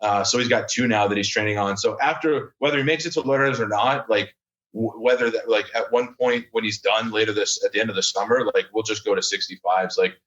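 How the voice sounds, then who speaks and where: A man's voice: fairly low pitch, steady tone, brisk, a bit bright, dull audio. A man in his thirties, a podcast guest, talking casually and rambling with lots of fillers and restarts. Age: 30s. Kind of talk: casual chat.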